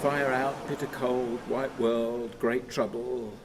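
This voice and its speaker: An actor playing a haunted, tormented older man in a radio play monologue, speaking in halting fragments that move between hush and anguish. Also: medium pitch, level tone, noisy background, natural voice. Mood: hushed, brooding. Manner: halting, fragmented, swinging from hushed to anguished cries.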